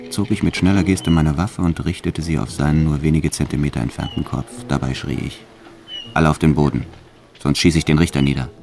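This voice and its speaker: A very deep, gravelly hardened gangster. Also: male voice. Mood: tense, controlled. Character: hardened male French bank robber, reckless and cold. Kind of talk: first-person radio drama narration.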